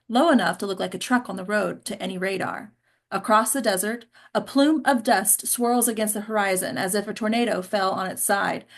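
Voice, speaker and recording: A woman reading stage directions aloud as the narrator at a script table read. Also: mid-range voice.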